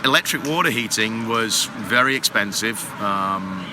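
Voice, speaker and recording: Low-pitched male guest, relaxed rambling explainer, trade show noise in the background. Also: measured pace. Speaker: guest, water heater company manager. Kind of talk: casual interview on a trade show floor. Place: busy trade show floor. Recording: noisy expo floor background.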